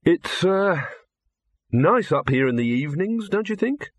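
A man's voice: sits high, big pitch swings, measured pace, dry recording, pitched above the performer's own voice, heavily acted. A man voicing an awkward, hesitant young man in an audiobook, earnest and bewildered. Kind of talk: audiobook character voice.

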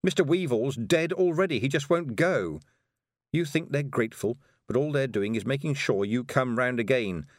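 Troubled, sharp-tempered witch child for an audiobook, acted by a man, higher register, animated. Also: normal speed, no echo, studio quality, natural voice. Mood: scornful, bitter. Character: troubled young witch girl, sharp and resentful.

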